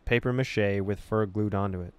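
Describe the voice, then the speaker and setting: A man hosting a gaming video, reading game text aloud in an expressive storytelling way with casual, amused asides. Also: fairly low pitch, normal speed, clear, resonant tone, dry recording.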